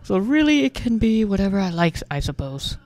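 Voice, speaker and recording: A narrator voicing a bold, excitable young pony hero in a theatrical, exclamatory manner. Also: medium pitch, brisk, no room sound, pitched above the performer's own voice. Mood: arguing playfully.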